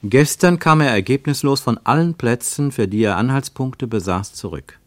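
A man narrating a literary German radio play as a reflective storyteller, with measured, lyrical phrasing. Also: mid-range voice, no room sound, lightly acted. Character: reflective male narrator of a literary travel account.

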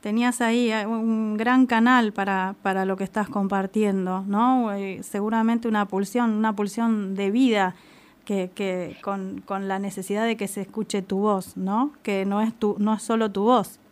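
A woman who hosts a community radio show, reading an introduction and interviewing a guest in an unhurried, affirming way. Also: medium pitch, fairly even intonation.

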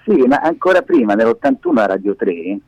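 A man's voice: sits high, wildly swooping pitch, fairly quick, vocal fry, darkish tone, dry recording. A man in his fifties, a guest on a phone call to a radio show, talking conversationally and emphatically, repeating key words. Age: 50s. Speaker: radio interview guest, performing media teacher. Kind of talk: animated conversational storytelling. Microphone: telephone. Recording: dull, muffled phone call.

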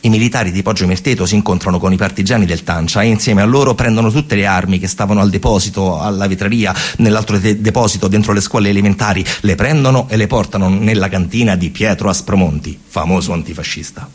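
Male stage storyteller, low husky voice, conversational radio-theatre monologue with emphatic repetition. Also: fast, hardly any pauses. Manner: conversational, repeats phrases for emphasis, acts out quotes.

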